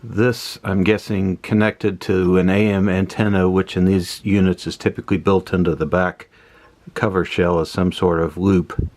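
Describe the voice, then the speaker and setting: A man giving a casual hands-on explanation as a hobbyist host, pausing mid-phrase as he thinks aloud. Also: deep voice, flat delivery, darkish tone. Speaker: host of a vintage electronics repair channel.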